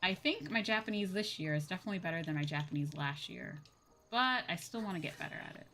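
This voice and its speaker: A woman's voice: on the low side, expressive, quick pace, sharp tone, a little hiss or hum. A woman livestreamer chatting casually and informally, thinking aloud as she goes. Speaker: livestreamer and VTuber host.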